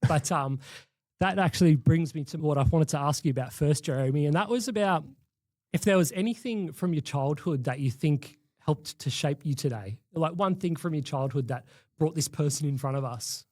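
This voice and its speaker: A man hosting a podcast, sounding sniffly and a little croaky, chatting in an easygoing way. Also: fairly high pitch, normal speed, a little mellow, no echo. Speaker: podcast host. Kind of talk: casual interview chat.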